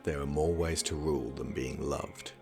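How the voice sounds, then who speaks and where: A man acting in an audio drama as a young scholarly prince, speaking with dry, measured, formal wit. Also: rumbling low, fairly even intonation, music in the background. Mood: cool, formal.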